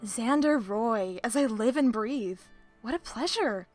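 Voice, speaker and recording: A woman's voice: on the high side, animated, a little slow, darkish tone, soft background music. A woman playing a sly, self-assured librarian with arch, playful, theatrical phrasing in an audio roleplay. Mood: teasing.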